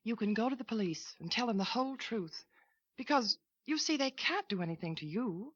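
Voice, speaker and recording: An actress playing a gentle, supportive young woman in a 1940s radio drama, speaking in a soft, reassuring way. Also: fairly high pitch, lively intonation, brisk, husky. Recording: dull lo-fi vintage radio recording.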